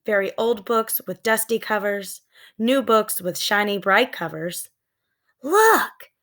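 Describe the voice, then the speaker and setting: A woman's voice: medium pitch, unhurried, fairly bright tone. A woman reading scripted narration from a children's storybook. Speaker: storybook reader.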